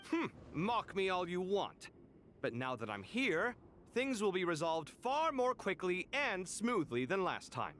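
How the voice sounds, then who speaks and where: A man playing a dramatic, proud yet self-doubting architect in a video game, theatrical and expressive. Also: sits high, a little slow, a little hiss or hum, full character voice. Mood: proud confidence. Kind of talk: scripted video game dialogue.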